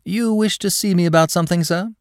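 Male reader as impudent young gent, storybook clerk. Silky over-politeness, very animated intonation, dry sound. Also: mid-range voice, normal speed, dry recording, voice pushed up. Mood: ingratiating. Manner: flowery, ingratiating, over-polite speech. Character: suave, impudent young gentleman clerk, mock-courteous and unflappable. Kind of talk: audiobook character dialogue.

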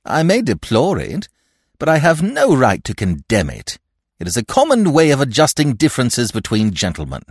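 A blustering, easily affronted old lord, as a male narrator puts him on in a storybook reading. His voice rides high and shrill, leaping all over the scale whenever he is crossed. The sound is dry and close. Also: wildly swooping pitch, a little slow, no room sound, full character voice. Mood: impatient. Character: testy elderly male nobleman, fussy and shrill. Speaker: provincial lord and godfather.

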